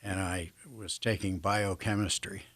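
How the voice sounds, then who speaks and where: A man's voice: low-pitched, fairly even intonation, measured pace, a bit bright. An older American man chatting as an interview guest, reflective and self-deprecating, feeling his way through his sentences. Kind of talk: casual anecdotal interview chat.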